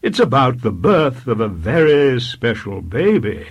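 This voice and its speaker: A man voicing a jovial, solicitous vicar in a storybook reading, speaking deliberately and exclaiming with kindly exasperation. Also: medium pitch, sing-song, husky, lo-fi sound, pitched above the performer's own voice, exaggerated voice. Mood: kindly, earnest.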